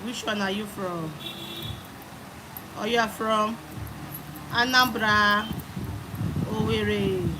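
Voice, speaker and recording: A woman's voice: mid-range voice, fairly even intonation, slow pace, pauses often. A young adult woman with a Nigerian accent, live streaming and chatting with viewers, greeting them by name and repeating her phrases. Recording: loud background noise.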